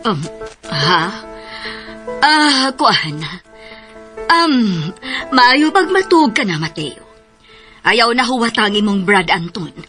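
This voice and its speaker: A woman's voice: fairly high pitch, very animated, slow, pauses often, sharp tone, exaggerated voice. A woman playing a worried, protective mother in a radio drama, fretful and scolding, with a Cebuano Filipino accent. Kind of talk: Philippine radio drama acting. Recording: music behind the voice.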